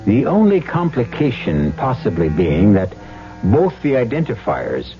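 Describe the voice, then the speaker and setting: A man's voice: lowish voice, huge pitch swings. American guy narrating old radio mystery, dramatic, muffled sound with music behind. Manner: deliberate, theatrical. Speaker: host and narrator.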